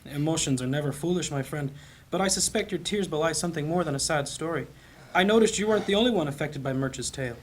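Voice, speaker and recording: Man playing husky, high-voiced gentle priest. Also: close to an everyday voice. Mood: concerned, gentle. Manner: measured, compassionate, solemn. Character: gentle, devout priest, wise and questioning. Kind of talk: fantasy audio drama acting.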